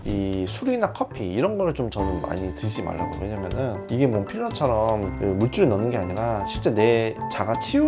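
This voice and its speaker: Fast-talking man explaining skin treatments. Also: fairly low pitch. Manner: explanatory, advising with examples. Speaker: dermatologist. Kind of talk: explaining a cosmetic procedure to viewers.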